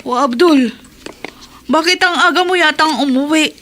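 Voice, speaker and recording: A principled young wife in a radio play. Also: female voice, high voice, moderate pace, sharp tone, slight background noise. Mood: domestic. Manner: impassioned, pleading. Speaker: radio drama actress. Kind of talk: radio drama acting.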